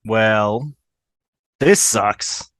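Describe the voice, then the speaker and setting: A woman's voice: rumbling low, drawn-out words, dry recording, exaggerated voice. A woman reading the part of a blunt, baffled, irreverent young woman at a table read. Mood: sour disbelief. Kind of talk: amateur script table read.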